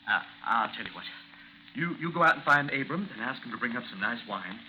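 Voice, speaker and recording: A strained, proud duellist, hissy recording. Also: male voice, medium pitch, expressive, brisk, sharp tone. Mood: forced calm. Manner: formal, strained, insistent. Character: proud, frightened married man facing a duel. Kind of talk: 1950s radio drama acting. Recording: noisy 1950s radio recording with hiss.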